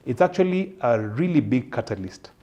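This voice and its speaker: A man with a Kenyan accent explaining policy as an interview guest, measured and halting as he searches for words. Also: mid-range voice, lively intonation, a little mellow.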